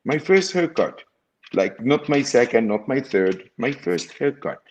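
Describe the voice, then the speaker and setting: A man's voice: mid-range voice, sing-song, a little slow, slight background noise. A middle-aged man from Egypt, telling his story as an interview guest in an emphatic way that repeats phrases and checks in with "okay?".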